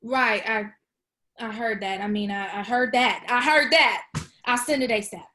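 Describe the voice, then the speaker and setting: A woman's voice: a little high, normal speed, pushed and bright, a little hiss or hum. A woman playing an awkward, eager young woman in a script table read, hesitating and repeating herself. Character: awkward, eager young woman fibbing her way through job hunt.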